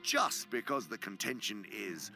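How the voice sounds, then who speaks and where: A man's voice: lowish voice, sing-song, measured pace, gravelly, music in the background, full character voice. A man playing a sly, gloating senior demon who lectures pompously for a radio drama, savoring his words and sometimes dropping to a whisper. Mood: smug lecturing. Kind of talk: theatrical radio drama monologue.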